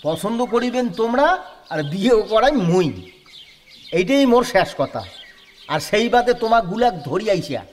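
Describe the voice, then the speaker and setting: A man's voice: sits high, expressive, moderate pace, slight background noise. A man with a Kamtapuri accent playing an earnest village man who insists he wants only the bride, in a rural radio play. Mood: insistent.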